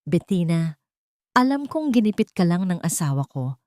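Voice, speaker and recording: A woman voicing a calm, stern wealthy husband in an audio drama, speaking in a measured, heavy way. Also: fairly low pitch, no echo, clean studio sound. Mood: calm, firm.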